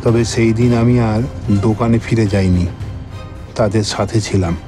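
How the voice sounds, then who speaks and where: A man narrating a scripted horror story in the first person, in a measured, dramatic manner. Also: fairly low pitch, fairly even intonation, over music.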